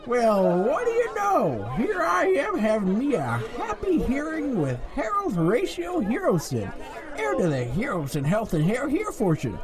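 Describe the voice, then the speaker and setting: A man playing an eccentric, excitable old villager, folksy and mocking, in a comic audio drama. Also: very high-pitched, normal speed, over a noisy crowd, full character voice. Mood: chatty, quirky. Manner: folksy, theatrical, cackling.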